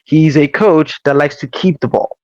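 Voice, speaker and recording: A man hosting a casual football show, explaining tactics in a measured, emphatic way. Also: a little high, fairly even intonation, darkish tone, no echo. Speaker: host. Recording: slightly dull dry audio.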